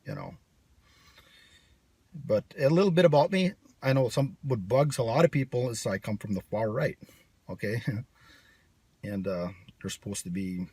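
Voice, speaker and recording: Brash, husky-voiced man. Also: mid-range voice, no room sound. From United States. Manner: brash, sarcastic, rambling. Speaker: channel host. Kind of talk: casual vlog monologue.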